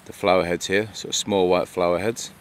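A man's voice: sits low, steady tone, a little fast. A middle-aged English man with a Lancashire accent, a bushcraft instructor explaining things casually outdoors with fillers and restarts, recorded on a camera mic. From England. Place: outdoors in a grassy meadow by woodland. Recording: faint outdoor background noise.

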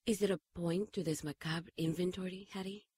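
An actress playing a solemn, stern, mystical woman in a radio drama, speaking in a formal, measured way. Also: on the low side, steady tone, dark tone, breathy edge, no echo, clean studio sound. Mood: reproving. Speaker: radio drama actor and narrator. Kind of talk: radio drama narration and dialogue.

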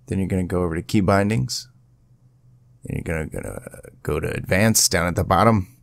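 A man presenting a casual step-by-step software tutorial in an informal, instructional way. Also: low-pitched, creaky, no room sound, studio quality.

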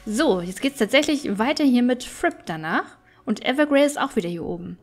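Casting: woman, fairly high voice, chatty gaming host thinking aloud over soft background music. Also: lively intonation, normal speed. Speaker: gaming streamer and let's play host. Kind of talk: casual let's play commentary.

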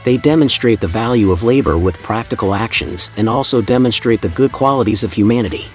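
A man's voice: lowish voice, fairly quick. A man reading a scripted documentary voice-over in a formal, admiring, evenly paced way. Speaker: narrator. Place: voice-over, not the pictured building site. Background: soft background music.

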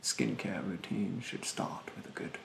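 Man acting a slow, hushed, snobbish spa therapist. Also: deep voice, creaky, roomy sound, noisy background, heavily acted. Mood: haughty, fussy. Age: young adult. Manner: haughty, condescending, halting delivery. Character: rude, snobbish male facial spa therapist. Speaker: ASMR performer. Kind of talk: soft-spoken ASMR roleplay, often whispered. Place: small bedroom, indoors. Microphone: close to the microphone.

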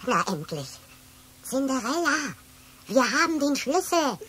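A woman's voice: sits high, very animated, a little slow, a bit bright, husky, heavily acted. A woman playing a small, cheeky, slightly pompous mouse in a children's audio play. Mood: grumbling, relieved.

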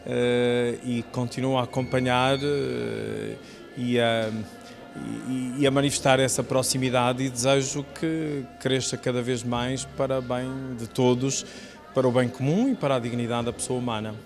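A middle-aged clergyman speaks in a level, mid-pitched voice with a European Portuguese accent. He is formal, pausing often, over faint music. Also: steady tone. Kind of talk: formal congratulatory interview remarks.

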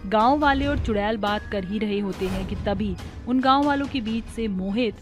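A woman's voice: medium pitch, normal speed, over music. A woman reading scripted narration for a cartoon story as its storyteller.

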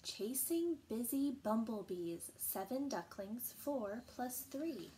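Slow, patient young woman teacher. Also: fairly high pitch, lively intonation, mellow, a little hiss or hum, low-quality recording. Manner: slow, patient, sing-song counting. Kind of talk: reading a picture book aloud and counting for young children. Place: small room indoors.

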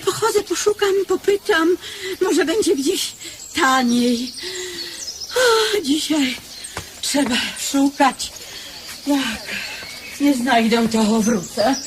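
A woman's voice: sits high, expressive, slow, pauses often, background noise. An actress playing a thrifty, doubtful customer in a radio drama, drawing out her words as she hesitates and haggles.

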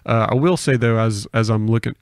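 A man's voice: medium pitch, a little fast, clean tone, no echo, clean studio sound. A young man hosting a podcast in casual conversation, speaking into a microphone at a table. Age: young adult. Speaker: podcast host. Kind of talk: casual chat. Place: small home room with bookshelves. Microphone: podcast microphone on a boom arm.

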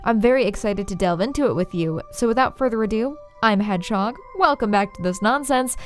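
A woman's voice: medium pitch, animated, a little fast. A woman hosting a scripted video essay, narrating in a wry, playfully sarcastic way. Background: faint background music.

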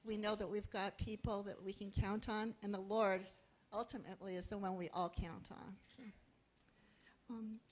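A woman's voice: mid-range voice, steady tone, normal speed, low-quality recording. A woman leading a church service, encouraging the congregation to support one another. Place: church sanctuary.

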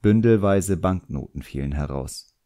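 A man's voice: sits low, animated, moderate pace, mellow. A man narrating a fantasy novel as a scripted audiobook, in a storytelling style.

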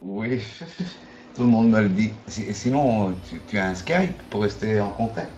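A man in his twenties from Sicily, chatting quietly in short replies with a stranger online. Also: fairly low pitch, measured pace, reverberant, muffled recording. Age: 20s. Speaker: stranger on a video chat. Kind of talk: casual chat. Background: music.

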